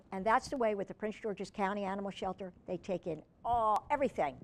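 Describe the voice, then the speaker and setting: A woman reads a storybook aloud for children at a mid-range pitch. She narrates as a storytime reader and drops in informal asides. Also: brisk.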